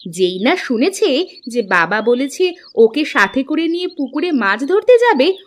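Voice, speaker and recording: A woman's voice: high voice, big pitch swings, measured pace. A woman playing a chatty, earnest young married daughter in a cartoon folk tale. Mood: teasing exasperation. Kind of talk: Bengali animated folk-tale dialogue. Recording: clear background noise, chirping birds.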